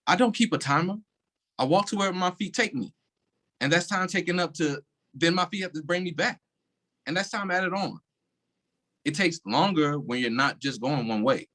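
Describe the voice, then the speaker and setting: An actor playing a withdrawn, bitter police officer in a staged play reading, giving short, clipped replies. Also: male voice, on the high side, normal speed, long pauses, a bit bright. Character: weary, guarded police officer, curt and bitter.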